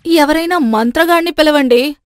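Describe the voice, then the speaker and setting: A woman's voice: high voice, normal speed, no room sound, studio quality, lightly acted. A woman voicing a wary, exasperated middle-aged mother-in-law in a cartoon story. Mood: alarmed.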